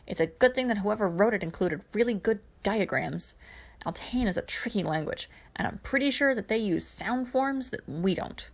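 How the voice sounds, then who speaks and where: Woman voicing a creaky, grumpy young tech whiz. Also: mid-range voice, expressive, normal speed. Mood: grudging, analytical.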